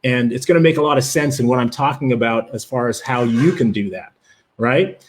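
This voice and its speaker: A man's voice: mid-range voice, brisk, slight background noise. A man with a Canadian accent, born in Jamaica, hosting a live webinar, talking with enthusiasm and many fillers while greeting viewers from the chat. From Jamaica, raised in Canada. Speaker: livestream presenter.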